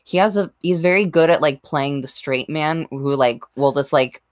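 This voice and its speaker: A woman's voice: sits low, moderate pace, no echo, studio quality. A woman talking casually in a group podcast chat. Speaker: podcast regular.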